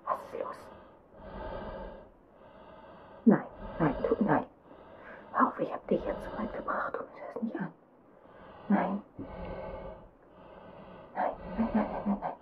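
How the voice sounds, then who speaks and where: A middle-aged woman playing a weary, caring zombie apocalypse survivor in a whispered ASMR roleplay, breathy, hushed and intimate. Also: medium pitch, unhurried, pauses often, lo-fi sound, lightly acted. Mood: desperate, pleading. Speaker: ASMR performer.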